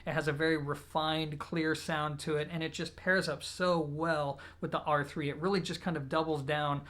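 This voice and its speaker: A man speaking as a product reviewer, explaining in a steady, relaxed way. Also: fairly high pitch, normal speed. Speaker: audio equipment reviewer.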